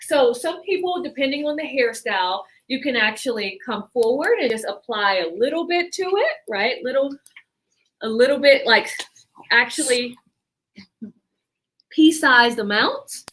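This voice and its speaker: A woman's voice: high-pitched, expressive, measured pace, fairly bright tone. A woman from the Bahamas presenting and demonstrating her hair-care brand's products, explaining step by step and often checking in with "right?".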